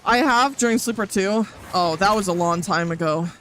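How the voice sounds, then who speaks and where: A woman's voice: medium pitch, normal speed, slight background noise. A woman streaming as a VTuber, chatting casually and reacting to chat while she plays a game. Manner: animated, reacting to chat, rising to outbursts. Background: game music.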